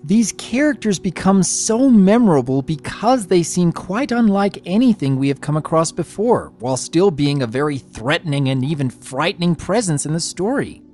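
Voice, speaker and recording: A man giving scripted review commentary with formal, measured wording. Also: a little high, expressive, darkish tone. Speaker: guest reviewer.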